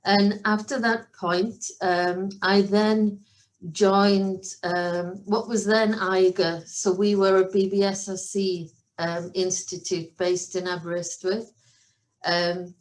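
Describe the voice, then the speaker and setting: A woman's voice: fairly low pitch, level tone, takes it slowly, rough recording. A Welsh woman in her forties, a professor presenting online in a friendly manner, with many ums and restarts. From Wales. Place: university office on video call.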